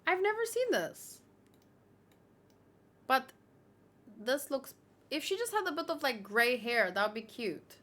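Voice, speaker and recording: A woman doing casual livestream commentary, reading aloud and reacting in a chatty, expressive way. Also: high voice, a little slow, pauses often. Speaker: manga read-along streamer.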